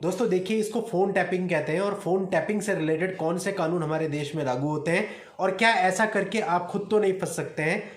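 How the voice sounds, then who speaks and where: A man with a high-pitched voice explains things like an advocate teaching his viewers. He is didactic and speaks straight to the listener. The sound is roomy, with clear echo. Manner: didactic, addresses listeners often as friends. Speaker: advocate presenting a legal advice channel. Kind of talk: explanatory legal talk to camera.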